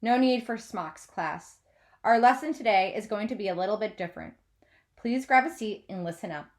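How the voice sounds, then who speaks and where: A woman's voice: mid-range voice, lively intonation, moderate pace, lightly acted. A woman voicing an earnest, encouraging male art teacher explaining things to a class, in a storybook read-aloud. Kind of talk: children's novel read-aloud.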